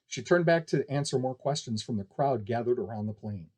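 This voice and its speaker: A man's voice: medium pitch, lively intonation, fairly quick, a little mellow. A man reading scripted fiction aloud as a narrator.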